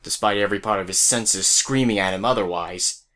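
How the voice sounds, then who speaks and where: A man's voice: fairly low pitch, fairly quick, pushed and bright. A man narrating a scripted audio drama as a storyteller who speaks straight to the listener. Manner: storyteller addressing listeners directly.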